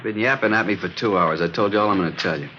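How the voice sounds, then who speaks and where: A sullen young crook with a deep voice. He is curt and defiant in the manner of radio crime drama, on a muffled, noisy old recording. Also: racing, sharp tone. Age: young adult. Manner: curt, surly. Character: sullen, defiant young robbery suspect in his twenties. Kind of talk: 1940s-50s radio acting.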